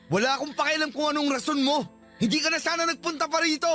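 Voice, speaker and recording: A man's voice: extremely high pitch, fast, bright, forceful tone, soft background music. A man playing a defensive, agitated cheating husband who shifts blame in a radio drama. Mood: irritated. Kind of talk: Tagalog radio drama acting.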